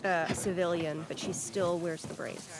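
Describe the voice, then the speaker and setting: A woman's voice: lowish voice, fairly even intonation, moderate pace, noisy background. A woman giving casual, analytical commentary on a story in conversation.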